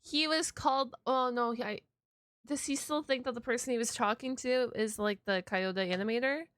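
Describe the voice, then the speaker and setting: A woman's voice: a little high, normal speed, a bit bright. A woman giving a casual live reaction commentary, exclamatory and slangy, repeating words as she addresses her chat. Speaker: reaction video host.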